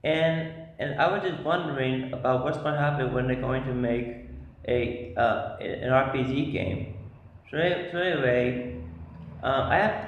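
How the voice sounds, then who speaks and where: A man in his thirties talking casually to camera as he gives a tarot reading, halting and repeating words. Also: fairly high pitch. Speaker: vlogger giving tarot readings. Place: small bare-walled room, indoors. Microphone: phone at arm's length. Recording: very echoey room, dull lo-fi sound.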